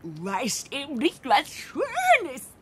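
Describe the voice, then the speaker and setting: A woman's voice: on the high side, big pitch swings, takes it slowly, slightly rough, exaggerated voice. An actress playing a haughty, stubborn queen turning into a greedy wild sow in a radio play. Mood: gluttonous, stubborn. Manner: imperious, stubborn, exclamatory.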